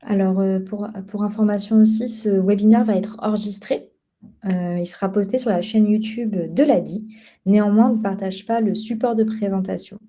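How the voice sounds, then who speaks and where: A woman's voice: medium pitch, steady tone, moderate pace, dark tone. A young woman co-hosting a live webinar from an office, explaining things in a conversational way with frequent hesitations. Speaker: project officer and webinar co-host. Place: office at headquarters.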